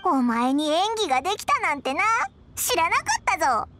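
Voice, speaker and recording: A tiny floating fairy from an anime game. The voice is extremely high and childlike, swinging about in lively intonation with a cheeky, bossy edge. Game music runs behind it. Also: female voice, brisk. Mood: smug, cheeky. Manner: cheeky, boyish childlike speech. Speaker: voice actor in a game dub. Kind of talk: anime-style game dialogue acting. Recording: studio voice over game music. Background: game background music.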